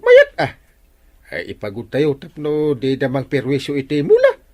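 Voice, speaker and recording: An actor playing a comic village uncle in an Ilocano radio comedy drama, exclaiming and stammering with an Ilocano Filipino accent. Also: male voice, fairly high pitch, wildly swooping pitch, moderate pace, no echo. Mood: affable. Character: village uncle, stuttering comic authority figure.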